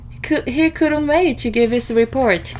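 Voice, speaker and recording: A woman reading a picture book aloud to children in expressive narration. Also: high-pitched, moderate pace, background noise. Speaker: storybook reader.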